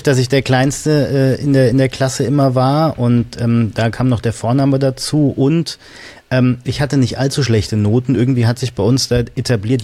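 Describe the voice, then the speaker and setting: A man's voice: medium pitch, mellow. Casual, candid man hosting a podcast. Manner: colloquial, joking, candid. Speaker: podcast host. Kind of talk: casual conversational interview.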